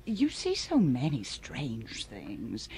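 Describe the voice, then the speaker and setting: An actress playing a genteel older hostess in a radio drama, polite but pointed and controlling. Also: female voice, rumbling low, wildly swooping pitch, slow, a little mellow, slight background noise, exaggerated voice, rough recording. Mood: prying.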